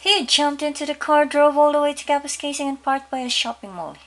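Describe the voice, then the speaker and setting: A woman storyteller reading a children's story aloud, pausing to ask her young listeners questions. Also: high-pitched, fairly even intonation, fairly bright tone.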